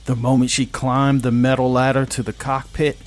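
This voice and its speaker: A man's voice: medium pitch, level tone, moderate pace, soft background music. A man narrating a scripted science fiction story in a dramatic style.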